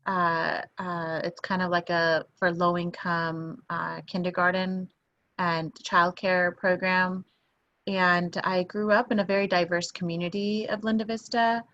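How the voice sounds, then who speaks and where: A woman's voice: on the low side, a bit bright, muffled recording. A woman who grew up in Iran, a professor guest speaking earnestly and thoughtfully from home over a video call.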